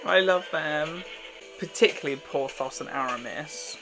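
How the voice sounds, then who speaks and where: A man's voice: on the high side, moderate pace, over music, poor audio. A man giving casual reaction commentary as a host, with gossipy, exclamatory asides.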